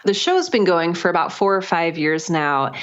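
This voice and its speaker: Woman, lower voice, earnest podcast guest, conversational, chatty, slightly muffled band-limited recording. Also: lively intonation, moderate pace. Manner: earnest, chatty, often laughs while talking. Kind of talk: conversational interview, explaining own work.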